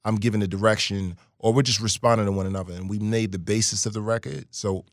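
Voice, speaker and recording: A man's voice: fairly low pitch, fast talker, no room sound, clean studio sound. A man from Brooklyn and Guyana, a musician guest telling stories in a casual interview. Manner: animated storyteller, hums melody lines.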